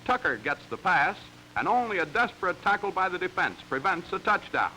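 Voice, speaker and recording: American man, vintage 1940s newsreel-style instructional narration, slightly high pitch, piercing bright tone, emphatic, faintly noisy old film audio. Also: sing-song, brisk. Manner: crisp, emphatic, instructional delivery.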